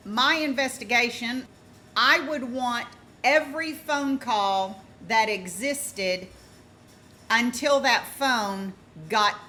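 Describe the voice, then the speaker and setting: A woman giving informal true-crime commentary as a vlogger, emphatic and deliberate, repeating points for stress. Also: mid-range voice, pushed and bright, slight background noise.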